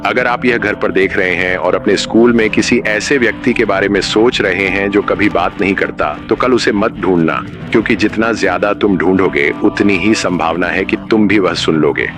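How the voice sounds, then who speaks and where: A man narrating a scripted horror story in a measured, ominous manner. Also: deep voice, music in the background.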